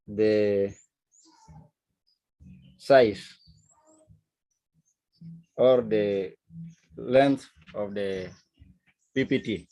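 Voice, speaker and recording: A man from South Sudan with a South Sudanese accent, teaching a lesson in a deliberate, emphatic manner. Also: on the low side, darkish tone, low-quality recording. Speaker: instructor. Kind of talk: digital literacy lesson, explaining to learners.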